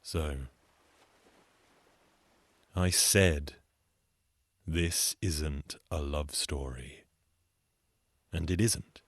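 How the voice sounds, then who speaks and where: Low-voiced man telling a folktale. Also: extremely slow, long pauses, dry recording. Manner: dry, wry asides. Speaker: storyteller. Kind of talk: theatrical folktale storytelling.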